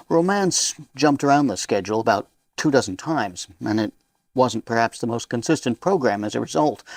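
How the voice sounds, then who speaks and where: Wry male host, slightly creaky voice. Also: medium pitch, a little mellow, no room sound. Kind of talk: semi-scripted chatty introduction.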